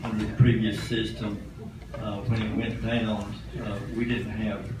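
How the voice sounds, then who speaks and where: A man with a somewhat low voice puts questions at a public meeting in halting, deliberate phrases. He is distant from the mic, with strong echo. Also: flat delivery. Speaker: county board member. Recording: very echoey room, distant mic, noisy.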